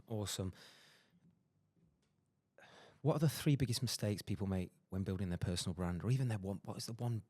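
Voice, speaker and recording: A man hosting a podcast interview, talking quickly and emphatically with many fillers and restarts. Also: lowish voice, mellow, no echo. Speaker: podcast host. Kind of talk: casual interview chat.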